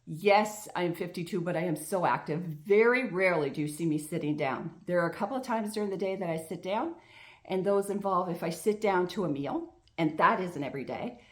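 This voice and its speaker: Casting: woman, fifties, vlog host, earnest and chatty, a touch low in pitch. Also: brisk. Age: 50s. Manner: earnest, chatty, with restarts and repeated phrases. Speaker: health coach, vlog host. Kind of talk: casual talking-to-camera vlog.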